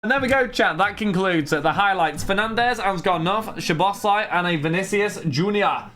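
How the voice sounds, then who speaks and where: A man's voice: high voice, moderate pace, sharp tone. A young man in his twenties casually streaming, rambling and chatty as he answers his viewers' messages. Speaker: streamer opening trading cards. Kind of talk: casual live-stream chat.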